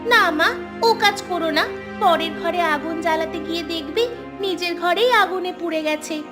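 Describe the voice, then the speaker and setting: A woman voicing a cheeky, sensible, outspoken young village granddaughter in an animated story. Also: very high-pitched, moderate pace, music in the background. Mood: cautioning. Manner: pert, outspoken. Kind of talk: Bengali animated story dialogue.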